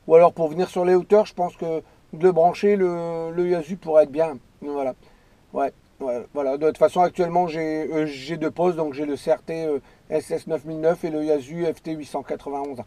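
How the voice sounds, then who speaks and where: A man's voice: a little high, steady tone, moderate pace, warm tone, no room sound. A man chatting casually as an amateur radio operator, rambling and repeating his phrases. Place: in or beside a parked car outdoors.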